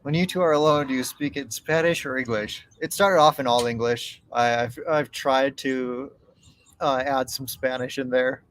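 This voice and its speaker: A man co-hosting a casual live Q&A stream from home, easygoing and quick to laugh as he reads viewer messages aloud. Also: mid-range voice, fairly even intonation, fairly bright tone. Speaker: co-host of a travel channel live stream. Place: home in Mexico.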